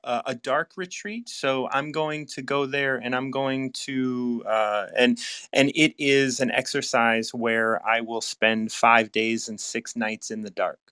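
A male podcast host with a bright, forward voice chats with his guests over a video call. He is quick to affirm, enthusiastic, and laughs easily. His tone stays conversational and engaged. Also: mid-range voice, steady tone, clean tone. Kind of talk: casual interview chat.

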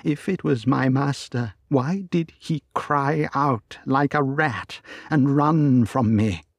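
Old male butler, loyal and frightened, pleading tone, husky, voiced by a man reading. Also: medium pitch, slow pace, dry recording, clean studio sound, voice pushed up. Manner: anxious, pleading, earnest. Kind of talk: storybook reading.

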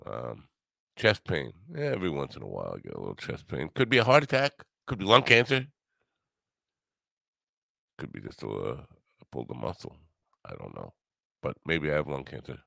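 Older man, grumbly low voice, gravelly, lively swings, dry close sound, wry solo podcast host. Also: normal speed, long pauses, dry recording. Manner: wry, self-mocking. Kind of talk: rambling solo monologue.

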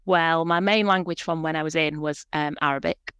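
A woman's voice: low-pitched, fairly even intonation, moderate pace, a bit bright, clean tone, dry recording. A woman in her forties, a podcast guest talking about her work in a chatty, reflective way, with frequent fillers. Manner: chatty, reflective, many fillers and small laughs. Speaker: guest, proofreader and editor. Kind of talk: conversational podcast interview.

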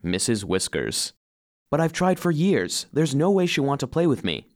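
A man's voice: medium pitch, very animated, normal speed, a little mellow, lightly acted. A man playing an earnest, eager cleaning robot who narrates his own story expressively in a children's audio drama. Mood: uncertain, surprised.

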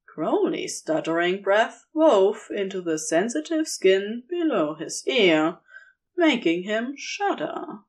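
A woman's voice: mid-range voice, big pitch swings, slow pace. A woman narrating scripted romantic fan fiction in an intimate storytelling style.

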